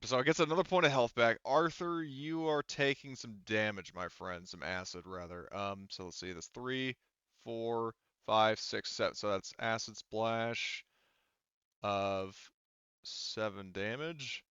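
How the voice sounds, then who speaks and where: A man's voice: on the low side, measured pace, a bit bright, clear, resonant tone, no room sound, dull audio. A man working as game master, narrating a tabletop roleplaying game casually and profanely, thinking aloud as he works through the rules.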